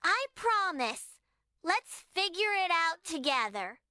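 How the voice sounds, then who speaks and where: Storybook girl: proud, giggly, kind, bright and high. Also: very animated, slow, dry recording, clean studio sound, heavily acted. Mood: eager. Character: excited little girl, playful and kind.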